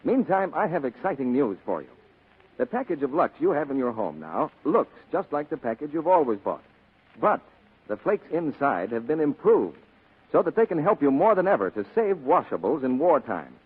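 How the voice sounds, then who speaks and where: A man's voice: big pitch swings, moderate pace, breathy edge. An American man working as a radio announcer, delivering an emphatic scripted 1940s commercial. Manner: emphatic sales pitch. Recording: tinny 1940s radio broadcast.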